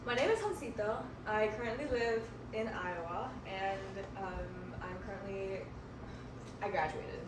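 A woman's voice: medium pitch, measured pace. Young woman, casual, in an echoey room. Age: young adult. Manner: laughing, playful and self-deprecating. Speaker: podcast co-host. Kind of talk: casual chat. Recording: roomy echo, distant mic, noise.

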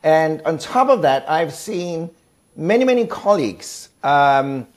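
A man with a Danish accent speaks as an expert guest in an interview. His voice sits a little high for a man. He explains things conversationally, repeating words for emphasis. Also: big pitch swings, slow. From Denmark.

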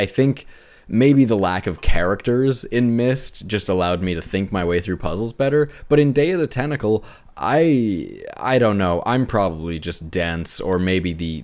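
A man speaking as a podcast co-host in casual, unscripted talk about games, feeling his way through sentences with halting phrasing. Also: low voice, darkish tone. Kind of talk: casual unscripted video game discussion.

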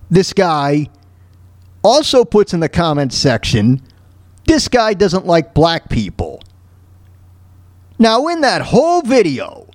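A man with a high voice and very lively intonation hosts a solo podcast. He is blunt and emphatic, circling back over his phrases to drive them home. The sound is close and dry. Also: dry recording. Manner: blunt, profane. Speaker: podcast host.

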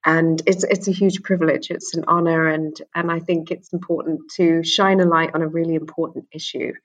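A woman's voice: low-pitched, flat delivery, fairly quick. Earnest middle-aged English-born woman. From England. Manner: earnest, candid, repeats words when starting. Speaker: interview guest. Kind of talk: conversational interview.

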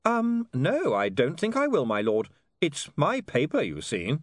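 A man voices a young newspaperman in a novel. He is high-pitched and politely pointed, with a cheeky, haughty sweetness and a very dry sound. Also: wildly swooping pitch, unhurried, no room sound. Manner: polite, bright, pointed questioning.

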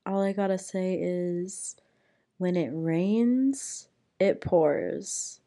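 Young woman host, hoarse and raspy from a cold, unhurried, low-key chatty delivery. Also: warm tone. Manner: chatty, playful, rambling with fillers. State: hoarse from being sick. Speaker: podcast host. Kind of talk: casual solo podcast chat. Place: small room at home.

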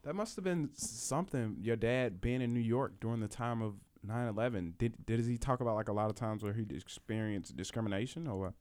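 A young man hosts a casual podcast, speaking in a clear, smooth voice at an everyday pitch. His phrasing is loose and informal, with lots of filler words as he asks questions. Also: brisk, hardly any pauses, a little mellow. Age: 20s. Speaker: podcast host.

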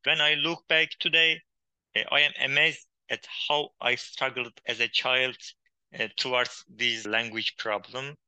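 Bright-toned man with a halting manner. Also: mid-range voice, steady tone, smooth, no room sound, low-quality recording. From Hakkari region of Turkey, Kurdish. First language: Kurdish. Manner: halting, with frequent pauses and uh fillers. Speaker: guest, poet and journalist. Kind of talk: interview conversation.

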